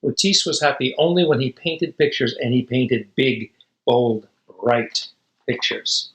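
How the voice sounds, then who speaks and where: A man's voice: medium pitch, unhurried, echoey room, rough recording. A man reading a children's picture book aloud expressively, with dramatic emphasis and casual asides. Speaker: storybook reader.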